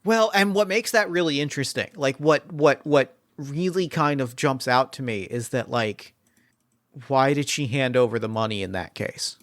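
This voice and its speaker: A man's voice: medium pitch, normal speed, no room sound, clean studio sound. A man running a tabletop murder mystery game as game master, talking casually and rambling with many fillers and restarts.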